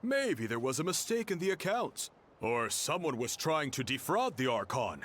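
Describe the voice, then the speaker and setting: An amused storytelling local. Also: male voice, mid-range voice, sing-song, normal speed, a bit bright, a little hiss or hum. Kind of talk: game cutscene voice acting.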